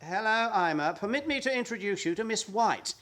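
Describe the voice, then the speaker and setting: An actor playing a wisecracking older doctor with a fondness for drink, in 1930s radio-play style. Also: male voice, high voice, lively intonation, fairly quick, fairly bright tone. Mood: jovial. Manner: jovial, wisecracking. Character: older male doctor, boozy and jovial.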